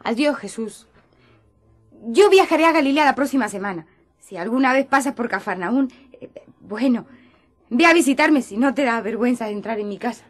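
An actress playing a blunt, mocking, lively village woman in a radio drama. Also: on the high side, moderate pace, soft background music. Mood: teasing. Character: brash, teasing village woman named María.

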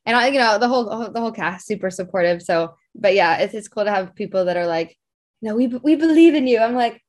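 A woman talks as a guest in a casual interview. Her pitch sits in the middle, and her phrasing is informal and loose, full of small filler words. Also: animated, fairly quick, darkish tone. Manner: informal, loose phrasing peppered with like and you know.

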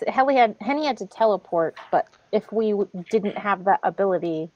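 A woman's voice: mid-range voice, animated, a little fast, mellow, no echo. A woman chatting casually as a player in an online tabletop game. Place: indoor room, video call. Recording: muffled, dry video call audio.

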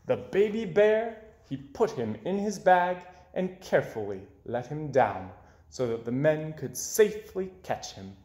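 A man, reading a children's story aloud with dramatic emphasis. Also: sits high. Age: 20s. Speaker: storybook reader. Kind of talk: expressive children's read-aloud narration. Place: small indoor room with bookshelves. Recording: roomy indoor echo.

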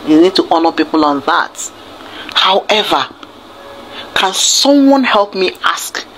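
A woman's voice: low-pitched, a little hiss or hum, poor audio. A woman, speaking with indignant exasperation in a commentary clip played within the video.

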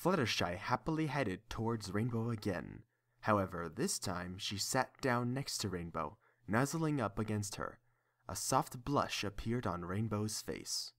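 A man's voice: lowish voice, expressive, unhurried, a bit bright. A man reading scripted third-person story narration as the narrator of an audio drama.

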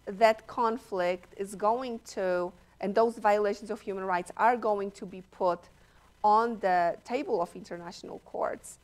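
A woman speaking as a professor on a panel, thoughtful and measured, pausing often. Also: mid-range voice. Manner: measured, thoughtful, slow with pauses. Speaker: panelist, political science professor. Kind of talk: panel discussion answer. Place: stage at a law school panel table.

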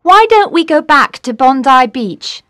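A woman's voice: sits high, very animated, a little slow, pushed and bright, no echo, clean studio sound, heavily acted. A woman playing a practical young woman, concerned for her friend, in an audiobook story. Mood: concerned, polite. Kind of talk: audiobook character dialogue.

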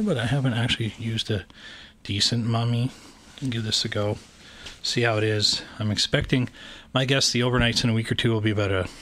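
A man's voice: fairly low pitch, fairly bright tone, slight background noise. A Canadian man talking casually and hesitantly, with many fillers, while unpacking and reviewing camping gear indoors on a handheld camera. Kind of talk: casual unboxing commentary. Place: indoors, room with wooden floor. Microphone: handheld camera pointed down.

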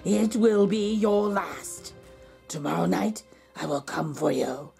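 A woman voicing a menacing, powerful goblin king in a storybook, slow, ominous and mockingly friendly. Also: on the low side, lively intonation, creaky, warm tone, soft background music, full character voice. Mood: ominous, taunting. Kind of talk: children's storybook reading.

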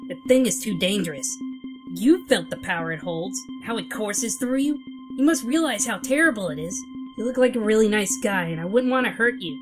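A woman's voice: medium pitch, lively intonation, brisk, music in the background, close to an everyday voice. A woman playing a cool, determined young femme fatale in a comic-book dub. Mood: firm, regretful.

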